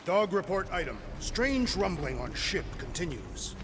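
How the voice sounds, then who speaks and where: Earnest, literal-minded alien warrior, deadpan. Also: male voice, high voice, unhurried, background noise. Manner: formal, emphatic, deadpan, avoids contractions. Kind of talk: video game acting.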